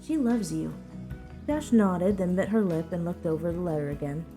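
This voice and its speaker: A woman's voice: fairly low pitch, moderate pace, dark tone, soft background music. A woman reading a story aloud as narrator in a scripted narration style. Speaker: narrator, fan fiction reader.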